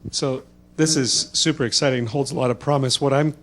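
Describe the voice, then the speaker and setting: A man's voice: mid-range voice, fairly even intonation, a little fast. A man speaking as a scientist in a conference question session, thinking aloud tentatively and breaking off sentences. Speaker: scientist asking questions from audience.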